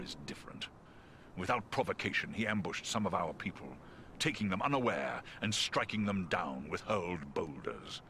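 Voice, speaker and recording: Deep, solemn eagle scout. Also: male voice, creaky, noisy background, full character voice. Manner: formal, stately diction. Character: noble male giant eagle scout, earnest and solemn. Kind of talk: scripted fantasy game dialogue.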